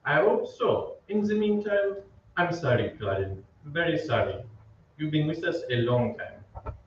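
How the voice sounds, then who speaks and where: A formal opera maestro played by a man in a radio play, courteous but firm. The voice is fairly high and swoops expressively, set far off in a very echoey space. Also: expressive. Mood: politely dismissive. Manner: measured, courteous, authoritative. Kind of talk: old-time radio drama acting. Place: theatre stage, live reading. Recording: very echoey stage, distant, muffled, hiss.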